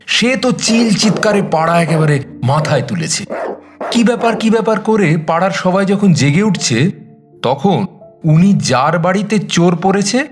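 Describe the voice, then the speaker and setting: A man narrating a dramatised story in a chatty, expressive way, with conversational, teasing asides. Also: sits high. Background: background music.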